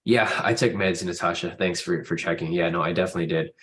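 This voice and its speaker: A man's voice: sits low, fairly even intonation, fast talker, fairly bright tone, slight background noise. A man with a stuffy nose from a cold, hosting a live trading stream in a casual, informal way and addressing viewers directly. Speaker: host of a live trading room.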